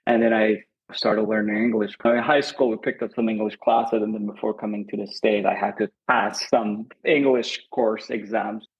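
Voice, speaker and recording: A man's voice: on the low side, level tone, normal speed, husky, muffled recording. A man in his thirties from Iran, with an Iranian accent, speaking in a podcast interview in an earnest, thoughtful way, often repeating words for emphasis and laughing easily. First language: Azerbaijani Turkish, Kurdish and Farsi. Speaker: lighting designer, guest.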